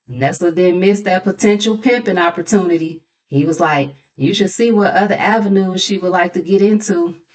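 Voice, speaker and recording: Gossip channel host: American woman, slightly low-pitched, sassy and casual, dropping mocking asides into her recap. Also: moderate pace, darkish tone, poor audio.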